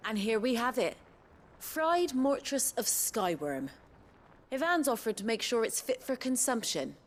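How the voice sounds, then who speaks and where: A woman's voice: on the high side, big pitch swings, unhurried. A woman playing a down-to-earth, teasing cook in a fantasy video game. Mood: brisk, teasing. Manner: wry, plain-spoken.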